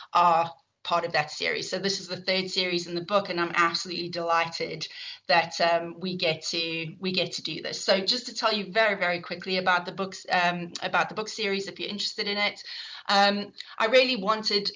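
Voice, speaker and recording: A woman's voice: on the low side, fairly even intonation, normal speed, pushed and bright, low-quality recording. A middle-aged woman with a South African accent, chairing an online session and introducing the speakers in a chatty way, with lots of fillers and restarts.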